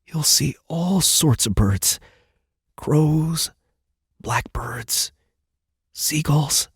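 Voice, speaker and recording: A man playing a loving, sad young father in an audiobook drama, speaking gently to a small child. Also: a little high, very slow, husky, no echo, clean studio sound, voice pushed up, exaggerated voice. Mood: tender reassurance. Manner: tender, gentle. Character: loving, sorrowful young father talking to his small daughter.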